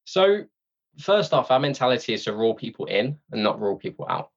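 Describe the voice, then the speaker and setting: A man's voice: mid-range voice, sing-song, brisk, husky, lo-fi sound. A man speaking informally as an interview guest, candid and self-deprecating in a casual conversation. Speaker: podcast guest, sales training company representative.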